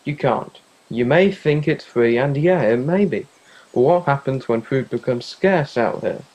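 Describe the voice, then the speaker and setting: A man's voice: medium pitch, lively intonation, a little slow, a little mellow, muffled recording. A young man in a youth theatre play acting a worried, caring teenage friend, earnest and pleading. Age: young adult.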